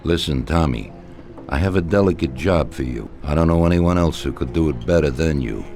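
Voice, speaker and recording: An actor playing an older male mob boss, shrewd and commanding, who gives orders in a slow, deliberate way in a video game cutscene. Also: extremely low pitch, animated, a little mellow. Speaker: crime boss. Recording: clear music bed behind voice. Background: music.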